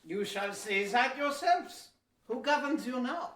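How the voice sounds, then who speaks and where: A courteous seventeenth-century merchant agent played by a woman speaks in a high, unhurried voice, formal and persuasive. The audio-play delivery is measured and sounds distant in an echoing room. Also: expressive, a bit bright. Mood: courteous, businesslike. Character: businesslike Dutch trading company agent, male, courteous and persuasive. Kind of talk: amateur historical audio play acting. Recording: roomy echo, distant from mic.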